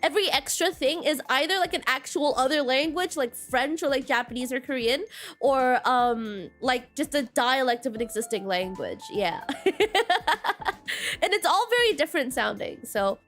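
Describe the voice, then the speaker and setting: Woman, high-pitched, Mandarin first language, giggly, rambling casual stream chat, faint music behind. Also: lively intonation, normal speed, a bit bright. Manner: giggly, rambling, many fillers.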